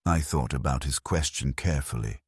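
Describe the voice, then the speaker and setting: A man narrating a scripted dramatic science fiction story in a somber, resigned manner, with a gravelly, husky voice. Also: a bass voice, normal speed, no room sound, studio quality.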